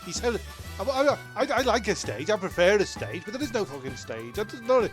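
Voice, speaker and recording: A man's voice: sits high, sing-song, a little fast, faint music behind. A man voicing a flustered male castaway comedian who rants and swears when annoyed. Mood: ranting. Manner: ranting, sweary.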